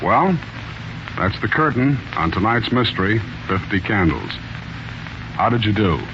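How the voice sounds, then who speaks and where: Deep-voiced old-time radio mystery host. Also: male voice, lively intonation, unhurried. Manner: theatrical, addressing listeners directly. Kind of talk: 1940s radio hosting, scripted. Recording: muffled, noisy 1940s radio recording.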